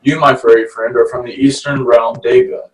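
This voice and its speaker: A man's voice: mid-range voice, level tone, moderate pace, recorded from a distance, lightly acted. A man playing a laid-back, sarcastic sixteen-year-old merman in a casual, teasing way at a screenplay table read. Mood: amused, casual. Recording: echoey, off-mic, faint noise.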